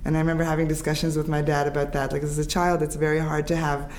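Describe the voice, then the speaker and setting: Woman in her fifties, grew up in Israel, English first language, halting reflective speech, light ambient noise, interview guest. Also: sits low, flat delivery, darkish tone. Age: 50s. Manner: halting, restarts and fillers, reflective. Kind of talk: conversational interview.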